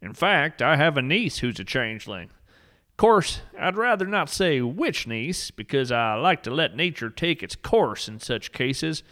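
A man's voice: on the high side, sing-song, measured pace, pushed and bright. A man narrating a scripted story with dry, mock-serious humor.

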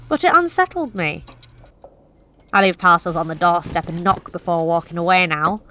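An actress playing a delivery driver who tells a horror story in the first person, wry and confiding. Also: female voice, on the low side, a bit bright, slight background noise, natural voice. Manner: wry, confiding, self-deprecating. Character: wry, uneasy woman courier.

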